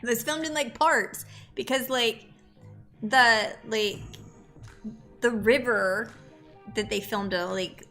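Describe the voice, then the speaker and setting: Bright, giggly woman with a Wisconsin accent. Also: a little high, slow, long pauses, soft background music. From Upper Midwest, United States. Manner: giggly, rambling, many fillers. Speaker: guest co-host. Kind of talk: casual live stream chat.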